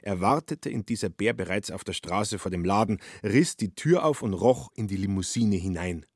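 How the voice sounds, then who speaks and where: A man narrating a literary radio drama in a measured, wry storytelling manner. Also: lowish voice, expressive, darkish tone, slightly rough, no echo, clean studio sound.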